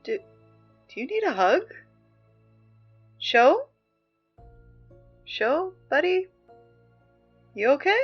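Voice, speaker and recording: A woman acting as an exasperated, wry high school student reading morning announcements in an apocalypse audio drama, halting and conversational. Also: very animated, dull audio, exaggerated voice. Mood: awkward concern. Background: music.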